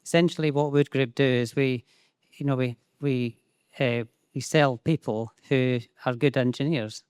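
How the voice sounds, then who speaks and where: A man's voice: medium pitch, fairly even intonation, a little slow, darkish tone, clear, resonant tone, no room sound, studio quality. A Scottish man speaking on a conference panel about payroll, talking with many fillers and restarts. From Scotland. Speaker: payroll process owner, panelist. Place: conference stage.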